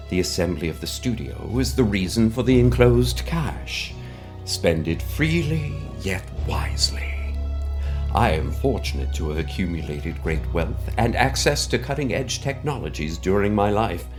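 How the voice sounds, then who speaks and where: A man's voice: fairly low pitch, darkish tone. Pompous, theatrically swooping mystery benefactor played by a man. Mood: grandly assured. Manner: pompous, florid, formal, theatrical pauses. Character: mysterious wealthy male benefactor, pompous, grandiose, slyly menacing. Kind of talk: scripted audio drama monologue.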